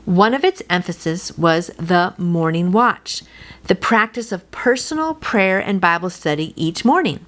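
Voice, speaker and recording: Female devotional host, middle-aged, somewhat low voice; mixes reading aloud with informal, heartfelt encouragement. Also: animated, a little slow, a bit bright. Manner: earnest, encouraging, personal direct address.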